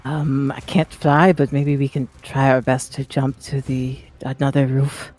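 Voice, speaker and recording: A man's voice: mid-range voice, little pitch movement, a little slow, a little mellow. A man playing a tabletop game, talking casually and in character with a blunt, exasperated manner. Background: background music.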